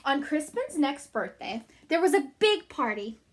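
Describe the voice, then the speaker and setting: A woman reading a story aloud to children, emphatic and animated, with chatty asides. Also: high voice, unhurried, a little hiss or hum. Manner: animated, emphatic, addressing young listeners.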